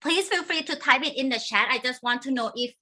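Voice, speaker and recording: A woman's voice: sits high, a little fast, sharp tone. A woman from Thailand with a Thai accent, teaching an online cooking class over a video call, talking in a friendly way with frequent ums.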